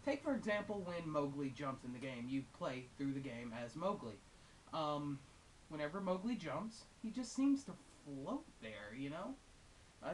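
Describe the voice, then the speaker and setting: A female-sounding game reviewer giving casual, unscripted and opinionated commentary in a conversational way with wry asides. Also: medium pitch. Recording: hissy, distant microphone.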